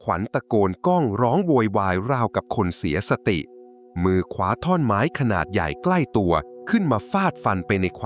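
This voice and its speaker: A man narrating a folk tale from a script in a dramatic, suspenseful, expressive way. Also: lowish voice. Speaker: storyteller. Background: music.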